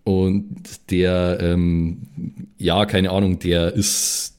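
A man's voice: low-pitched, level tone, very slow, a little mellow, clean tone. A man chatting casually as a tabletop role-playing player on a podcast, slangy and dropping in English words. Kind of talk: casual chat.

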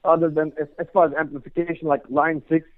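A man's voice: on the high side, level tone, fast, no echo. A man, a guest guitarist chatting in an interview, rambling with lots of "you know" and "like". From Turkey. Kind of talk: casual interview chat. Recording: dry tinny phone line.

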